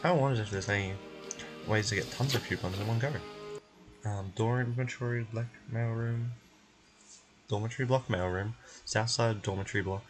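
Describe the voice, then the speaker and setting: A man streaming a game, giving casual, low-key commentary as he thinks aloud. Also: fairly even intonation, normal speed, over music.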